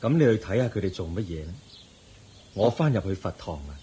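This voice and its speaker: An actor playing a grave, wise spiritual teacher in a radio drama, solemn and admonishing in Hong Kong Cantonese. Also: male voice, low-pitched, sing-song, fairly quick, dark tone, slightly rough, exaggerated voice.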